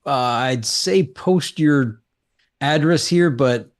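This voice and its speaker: A man hosting a live stream, chatting casually in a loose, halting, conversational way. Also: mid-range voice.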